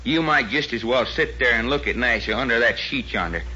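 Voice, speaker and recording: An actor playing a folksy, tough adventurer with an American Southern accent in an old-time radio drama. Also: male voice, mid-range voice, lively intonation, fairly quick, sharp tone, breathy edge, heavily acted. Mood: folksy, wary. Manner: drawling, folksy, blunt. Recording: muffled, noisy old radio recording.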